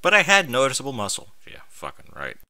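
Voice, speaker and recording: Bright, animated, sarcastic man reading aloud. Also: mid-range voice, quick pace, no room sound. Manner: exasperated, sarcastic, swearing. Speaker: host reading and mocking amateur fan fiction aloud. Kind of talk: story reading with sarcastic ad-lib commentary.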